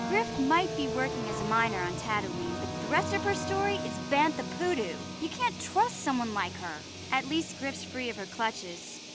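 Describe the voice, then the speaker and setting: High-pitched, feisty teenage girl. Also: rough recording. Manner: brash, emotional, youthful. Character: feisty, stubborn teenage alien girl, fiercely loyal. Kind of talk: video game character dialogue.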